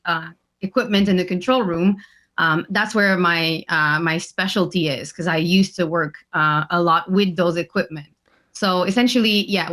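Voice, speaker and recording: A woman's voice: on the low side, a bit bright. Hesitant woman on a video call. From French Canada. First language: French. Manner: hesitant, many "uh" and "um" pauses. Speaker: guest. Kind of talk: casual interview answers.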